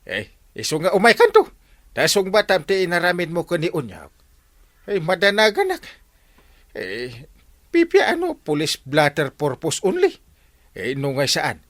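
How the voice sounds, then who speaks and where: Wildly animated Ilocano village captain. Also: male voice, fairly high pitch, moderate pace, long pauses, no echo, clean studio sound. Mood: firm, admonishing. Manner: animated, sputtering, repeats exclamations. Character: exasperated village captain scolding his wayward men. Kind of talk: Ilocano comedy radio drama.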